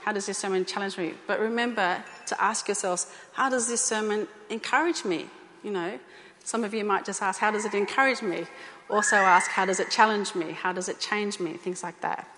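A woman's voice: mid-range voice, a little fast, bright, forceful tone, slight background noise. A woman giving an informal talk to a church group, speaking conversationally with fillers and repeated phrases. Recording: echoey hall.

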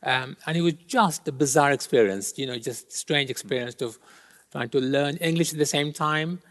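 A middle-aged man from Kenya whose first language is Gujarati, telling his life story as a guest speaker in a gentle, hesitant way with many pauses. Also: fairly high pitch. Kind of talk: personal testimony interview. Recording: long hall reverb.

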